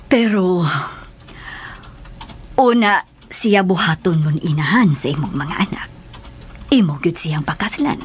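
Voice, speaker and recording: An actress with a Filipino accent and husky voice playing a kindly but firm, protective middle-aged boss in a radio drama. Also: female voice, on the low side, animated, measured pace, pauses often, a little hiss or hum. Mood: firm, probing.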